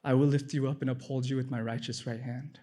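A man's voice: mid-range voice, flat delivery, quick pace, dark tone. A man giving a personal testimony of faith, earnest and repeating phrases for emphasis. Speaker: testimony speaker.

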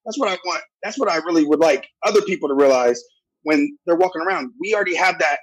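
A man with a loud, deep voice talking earnestly in a casual podcast discussion, often restarting his phrases. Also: fast talker, breathy edge, low-quality recording. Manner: earnest, restarts phrases, keeps asking "you know what I'm saying". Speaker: podcast guest.

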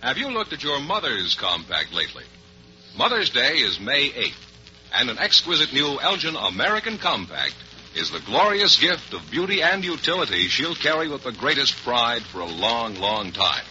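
A man's voice: mid-range voice, sing-song, moderate pace, bright, forceful tone. A man announcing in a polished 1940s radio style. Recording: muffled, faintly noisy old radio broadcast.

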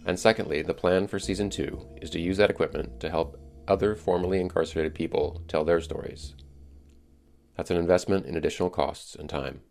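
Deep, gravelly middle-aged man narrating. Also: steady tone, a little fast, darkish tone. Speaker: podcast host. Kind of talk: scripted narration.

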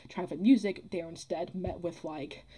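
A woman's voice: on the low side, steady tone, normal speed, mellow, breathy edge. A young woman in a casual discussion, earnest and rambling, repeating phrases as she builds her argument. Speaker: podcast guest, theatre producer.

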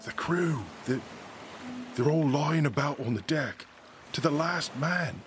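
A man playing a shaken, hesitant pirate crewman in an audio drama, halting and stammering as he reports. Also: on the high side, soft background music, lightly acted. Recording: clear background ship ambience noise.

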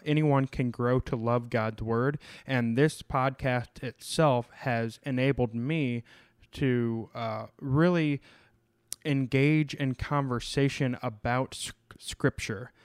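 A male podcast host talks casually about scripture, often stopping to restart his sentences or fill a gap with an "uh." His voice comes across smooth and clear, and the recording is close and dry, with no room sound. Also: medium pitch, dry recording. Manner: halting, many fillers and restarts.

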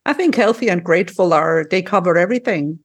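Breathy-voiced woman hosting an interview. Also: lowish voice, animated, quick pace. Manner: warm, encouraging, with laughter and affirmations. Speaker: podcast host.

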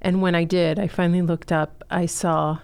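A woman's voice: on the low side, little pitch movement, moderate pace, darkish tone, clean tone. A woman in her forties, from Chile and raised in Miami, earnestly telling her personal story as an interview guest. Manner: earnest, heartfelt storytelling with fillers. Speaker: guest, school co-founder.

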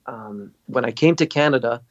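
A man from Lebanon tells his story as a podcast guest, lively and acting out what others said. His voice sounds dry and a little dull, with no room echo. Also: mid-range voice, normal speed. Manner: animated storyteller, acts out quotes. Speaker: guest, singer and producer. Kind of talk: casual interview storytelling.

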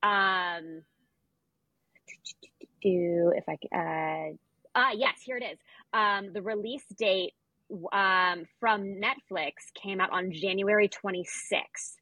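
A woman's voice: mid-range voice, fairly even intonation, slow, pushed and bright, husky, no room sound, lo-fi sound. A woman co-hosting a podcast, chatting casually about sport with wry asides. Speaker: podcast co-host. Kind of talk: casual sports chat, recapping records.

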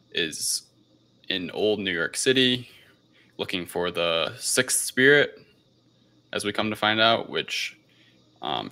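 A man's voice: low voice, vocal fry, bright, forceful tone. A man hosting a casual podcast film review, speaking in a hesitant, unscripted, rambling way. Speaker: podcast host.

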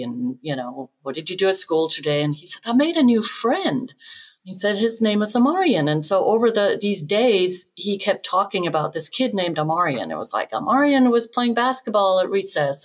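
Lower-pitched woman, expert podcast guest. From Denmark, moved to the US in her twenties. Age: middle-aged. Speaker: expert guest, developmental psychologist. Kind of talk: explanatory interview answers with anecdotes.

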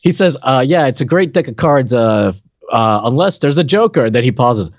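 A dry, slightly muffled lo-fi recording of a man co-hosting a podcast. He chats casually about a TV comedy with an amused manner, explaining things in a mid-pitched voice. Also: animated, moderate pace, dry recording. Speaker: podcast co-host. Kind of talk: casual chat, commenting on a TV comedy.

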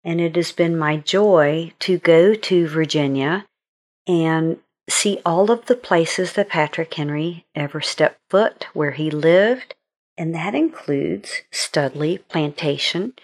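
A woman's voice: low voice, slow pace, a little mellow. A woman presenting an informal educational history segment for children as an author.

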